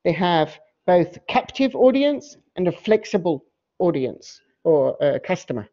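A woman professor giving an academic lecture, explaining slides in a halting way and often rephrasing mid-sentence. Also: on the low side, warm tone. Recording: dull, muffled lo-fi audio.

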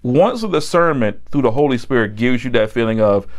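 A man's voice: mid-range voice, animated, fairly quick. A middle-aged American man delivering a sermon as a preacher, blunt and admonishing, driving points home with repetition. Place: indoor set with backdrop, standing at a table.

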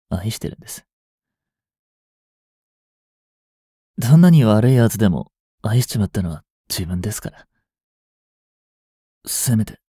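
A man playing a grieving young policeman in an audio drama, speaking in a slow, bitter, intimate murmur. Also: fairly low pitch, warm tone, husky, no room sound, clean studio sound, full character voice. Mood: bitter resignation. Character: grieving young policeman mourning his criminal girlfriend, tender. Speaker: voice actor. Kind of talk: Japanese audio drama monologue. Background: music.

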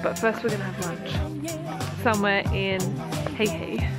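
A woman's voice: mid-range voice, expressive, a little slow, loud music behind the voice. A young woman chatting casually into a handheld camera while hiking a coastal trail, a little out of breath. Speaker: travel vlogger. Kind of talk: casual travel vlog. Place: outdoors on a coastal bush trail. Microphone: handheld camera at arm's length.

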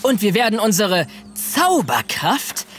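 A man's voice: sits high, huge pitch swings, slow, a bit bright, a little hiss or hum, exaggerated voice. An actor voicing a brash, cheeky, hot-headed young boy in a fantasy audio drama. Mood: bold bluffing. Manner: snotty, bold.

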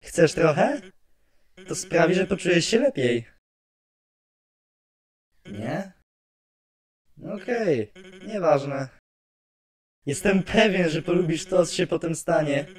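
A man playing an excitable, chatty skeleton artist guardian who is prone to brooding, in an animated fan dub with expressive delivery. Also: fairly high pitch, slow pace, pauses often. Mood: excited, playful.

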